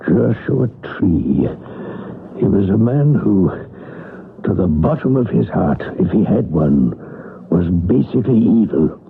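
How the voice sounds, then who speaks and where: An actor playing a grave older village priest who speaks in an ominous, hesitant way. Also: male voice, low-pitched, very animated, slow pace, gravelly, breathy edge, full character voice. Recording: old radio broadcast, narrow band.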